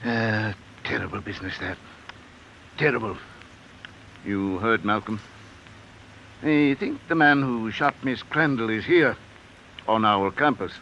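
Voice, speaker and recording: An actor playing a grave, businesslike university dean in a vintage radio drama, formal in manner. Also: male voice, on the low side, lively intonation, unhurried, pauses often, muffled recording. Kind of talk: 1950s radio drama acting. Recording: old radio broadcast, faint noise.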